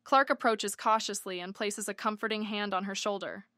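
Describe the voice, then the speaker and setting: A scene-setting drama narrator, read by a woman. The voice is crisp and bright, recorded dry, delivering scripted narration. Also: mid-range voice, fairly even intonation, moderate pace, dry recording, close to an everyday voice. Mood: matter-of-fact.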